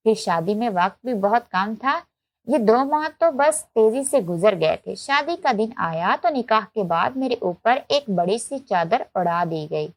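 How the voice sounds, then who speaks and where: A woman's voice: mid-range voice, no echo. A woman narrating a scripted, emotional first-person story as a storyteller.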